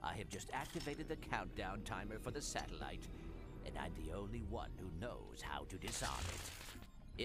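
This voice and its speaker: A man voicing a smug, scheming robot villain in a video game, pompous, deliberate and gloating. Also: fairly low pitch. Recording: loud music behind the voice.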